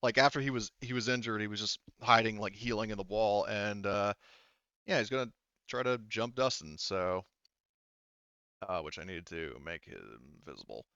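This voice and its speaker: A man with a smooth, fairly low voice acts as game master for a casual tabletop session. He thinks aloud and pauses often while sorting out rules. The sound is close and dry but somewhat dull. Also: sharp tone, no echo.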